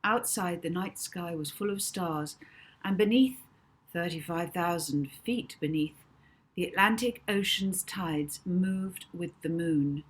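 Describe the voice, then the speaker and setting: A woman reading a children's novel aloud as expressive audiobook narration. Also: on the low side, slow, breathy edge.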